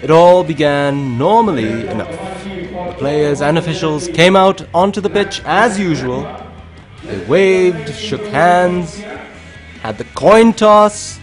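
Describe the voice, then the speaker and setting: A man presenting a sports highlights show in a scripted voice-over, with an emphatic, measured delivery. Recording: music under the voice. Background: background music.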